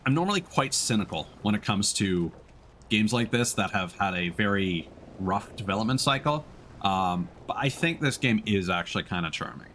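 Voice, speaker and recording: Animated low-pitched man livestreaming. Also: normal speed, a little hiss or hum. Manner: chatty, greeting and thanking viewers mid-thought. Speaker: livestreamer, VTuber. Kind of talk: casual livestream chat over gameplay.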